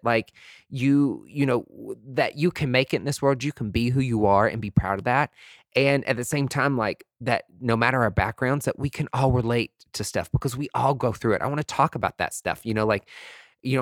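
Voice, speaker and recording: A man with a medium-pitched voice speaks as a candid motivational podcaster. He is sassy and emphatic, chatting casually with camp slang and the odd swear word. The sound is dry, with no room echo. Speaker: podcast speaker, motivational aesthetics-industry personality.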